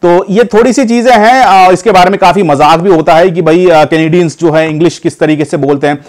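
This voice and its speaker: A man's voice: sits high, fairly quick, darkish tone. A man from India chatting casually to camera as a vlogger, calling viewers "guys" and filling his talk with hesitations and restarts. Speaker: vlogger giving advice.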